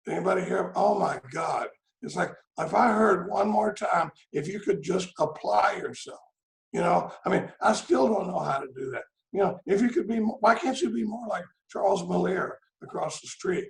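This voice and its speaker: Gravelly man in his sixties. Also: high voice, moderate pace, reverberant. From Dallas, Texas. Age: 60s. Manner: joking, rambling, frequent "I mean" and "you know". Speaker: AA speaker. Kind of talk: storytelling speaker-meeting share. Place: home room on a video call.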